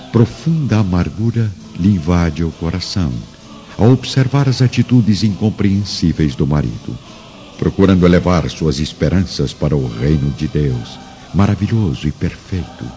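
A man's voice: low voice, warm tone. A man narrating a radio drama from a script in a solemn, theatrical way. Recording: muffled audio with faint music.

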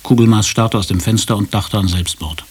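An actor narrating a comic radio play as a detached storyteller, measured and matter-of-fact. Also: male voice, fairly low pitch, fairly even intonation, darkish tone, breathy edge. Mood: detached narration. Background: music.

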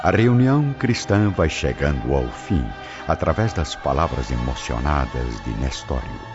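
A man narrating a radio drama in a slow, solemn storytelling manner. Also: extremely low pitch, sing-song. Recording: dull lo-fi audio with faint music.

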